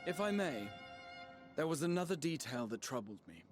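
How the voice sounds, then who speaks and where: An actor playing a polite, troubled investigator in a fantasy game, speaking formally. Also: male voice, on the high side, sing-song, normal speed, over music. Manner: courteous, formal.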